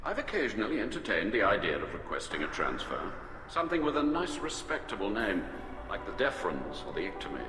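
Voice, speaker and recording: An actor playing a pompous, prickly middle-aged alien admiral in a video game, formal and haughty. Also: male voice, fairly low pitch, very animated, sharp tone. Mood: defensive dignity. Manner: formal, haughty, deliberate wording. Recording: echoey hall, music behind.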